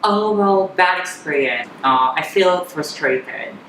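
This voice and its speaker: A woman in her thirties with a Filipino accent, chatting candidly as an interview guest, often halting and repeating words. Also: deep voice, animated, fairly bright tone. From Philippines. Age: 30s. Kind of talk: personal interview chat. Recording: echoey room with clear background noise.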